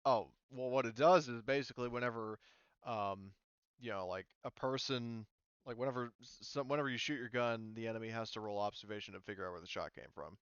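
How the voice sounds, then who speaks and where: A man's voice: mid-range voice, brisk, clear, resonant tone, no echo, lo-fi sound. A man running an online tabletop game as game master, explaining things informally and a little hesitantly.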